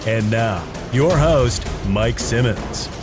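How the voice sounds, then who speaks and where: A man's voice: medium pitch, animated, slow pace. A man delivering a scripted, punchy show intro as the announcer. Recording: voice over loud intro music.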